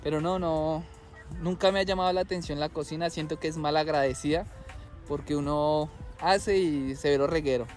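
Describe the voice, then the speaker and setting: A young Colombian man with a slightly high voice chats to camera in a rambling, easygoing way, often stopping to correct himself. He is recorded outdoors in a city, with soft music playing underneath. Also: steady tone, moderate pace. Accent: Colombian Spanish. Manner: chatty, rambling, self-correcting. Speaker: vlogger. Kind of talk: casual talk to camera.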